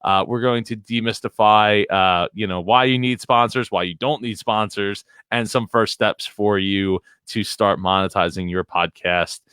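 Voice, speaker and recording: A man hosting a live podcast show, rambling and playful with frequent fillers. His voice is fairly low but bright and crisp. The sound is dry and close. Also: steady tone, unhurried, few pauses, no echo. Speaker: podcast host. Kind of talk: live show host chat.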